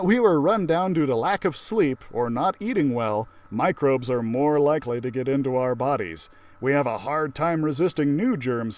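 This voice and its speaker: A man reading aloud to children as a library story-time host, expressive, with casual asides. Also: mid-range voice.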